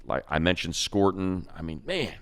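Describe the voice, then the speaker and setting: A man co-hosting a casual football talk show, breaking down draft picks in an animated, rambling way with lots of restarts. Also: low voice, normal speed. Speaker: co-host, team radio play-by-play announcer.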